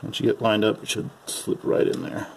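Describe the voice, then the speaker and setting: Low-voiced man giving hands-on instructions. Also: completely flat delivery, fairly quick, creaky, a little hiss or hum, low-quality recording. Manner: casual, folksy instruction, calling parts "her". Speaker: amateur mechanic giving a repair demonstration. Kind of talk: unscripted how-to narration while working. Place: at a car's open engine bay.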